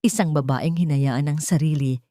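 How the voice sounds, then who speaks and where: Low-voiced Filipino woman telling a dramatic story. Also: steady tone, normal speed, warm tone, no room sound, clean studio sound. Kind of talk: dramatic scripted romance narration.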